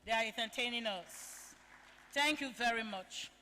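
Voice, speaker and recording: A woman with a high, bright voice hosts a formal conference as master of ceremonies. She reads distinguished guests' credentials in a ceremonious tone. Her handheld mic carries into a large, echoing conference hall. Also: moderate pace. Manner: ceremonious, reads guest bios aloud with honorifics. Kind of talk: formal event introductions.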